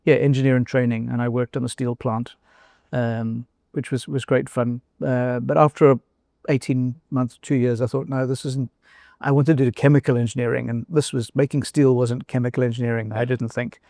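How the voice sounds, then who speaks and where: Gravelly South African older man. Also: mid-range voice, flat delivery, normal speed, mellow, no room sound, clean studio sound. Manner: thoughtful, hesitant with many fillers and restarts. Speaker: guest, former company CEO. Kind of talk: casual interview conversation.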